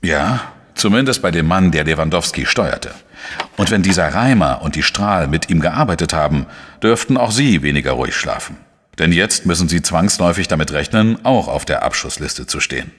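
Male for crime radio play: shrewd, matter-of-fact reporter-sleuth, very low husky voice, reverberant sound. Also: expressive, a bit bright, heavily acted. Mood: measured reasoning. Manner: measured, explanatory, matter-of-fact. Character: shrewd, dry male investigative journalist, narrating his case. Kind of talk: German crime radio play, narration and dialogue.

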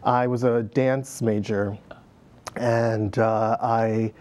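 A man's voice: fairly low pitch, level tone, very slow, a little mellow. Middle-aged male guest, reflective storyteller, easygoing, studio lapel sound. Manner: reflective storyteller, many fillers, laughs easily. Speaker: guest, dance director. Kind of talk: conversational interview. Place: TV studio set.

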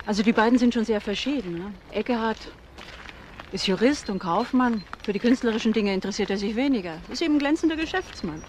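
A woman's voice: fairly high pitch, expressive, fast talker, slight background noise, lightly acted. An actress playing a frank, forthcoming single mother being questioned in a German crime radio drama, in a conversational way. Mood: frank, explanatory. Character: frank, chatty single mother, office employee witness.

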